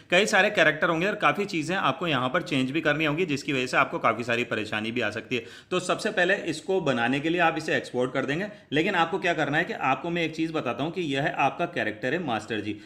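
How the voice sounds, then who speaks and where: A man with a forceful, bright voice pitched a little high. He presents a step-by-step tutorial, chatty and repetitive as he explains each action. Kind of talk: step-by-step spoken app tutorial.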